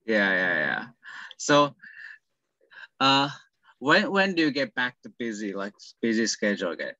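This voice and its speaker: Young guy hosting, bright tense voice, kinda muffled lo-fi call sound, keeps saying like and sorry. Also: on the low side, sing-song, measured pace, no room sound. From Taiwan. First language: Mandarin. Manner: apologetic, hesitant, filler "like". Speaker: interview host. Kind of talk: casual interview over a call. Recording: dull lo-fi call audio.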